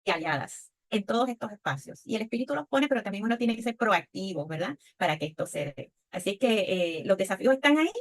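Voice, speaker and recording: A woman's voice: medium pitch, lively intonation, fast, hardly any pauses. An older woman with a Puerto Rican accent gives a reflective personal testimony on a panel, often checking in with short tag questions. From Puerto Rico. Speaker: panelist, women's leadership speaker.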